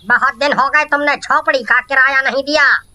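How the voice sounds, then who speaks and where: Woman acting a heartless cartoon landlord, forceful bright tone, fairly high pitch, curt, scolding, impatient. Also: steady tone, moderate pace. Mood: stern. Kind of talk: children's moral story cartoon acting.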